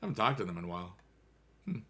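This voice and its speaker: A man's voice: sits low, big pitch swings, racing, sharp tone, husky. An American man in his thirties chatting casually with viewers on a game stream, rambling and upbeat with playful rhymes.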